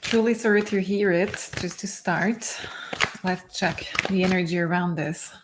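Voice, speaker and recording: A woman's voice: lowish voice, a little slow, background noise. A woman giving live intuitive card readings to viewers in an earnest, reassuring way. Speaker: psychic card reader.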